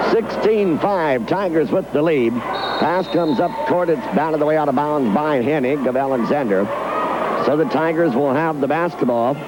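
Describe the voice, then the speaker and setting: A man's voice: a little high, very noisy. A man doing live radio play-by-play of a basketball game in clipped, telegraphic phrases, on a local 1990s broadcast. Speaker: radio play-by-play sports announcer. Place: indoor gymnasium fieldhouse.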